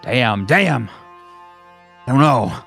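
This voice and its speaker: A man's voice: medium pitch, lively intonation, slow, gravelly, fairly bright tone, soft background music, full character voice. A man playing an eager, trigger-happy sniper boss in a roleplaying game, terse, repetitive and domineering. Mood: irritated.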